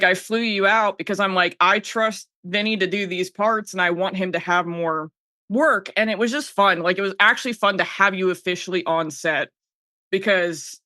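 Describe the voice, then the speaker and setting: A woman's voice: mid-range voice, bright, forceful tone, no room sound, studio quality. A woman podcast guest telling personal stories in a casual, informal way, often quoting herself as she goes. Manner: informal, self-quoting storytelling. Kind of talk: casual chat, personal anecdotes.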